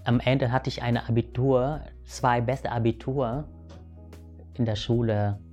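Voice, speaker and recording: Halting man. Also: mid-range voice, steady tone, darkish tone, soft background music. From Vietnam. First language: Vietnamese. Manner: halting, many restarts and fillers, asks "weißt du". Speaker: guest. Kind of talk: reflective personal storytelling.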